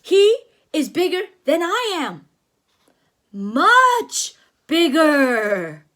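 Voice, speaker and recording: Woman doing a cautious cartoon elephant, worried, slow and deliberate, high and lilting. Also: sing-song, a bit bright, heavily acted. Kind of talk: children's picture book read-aloud.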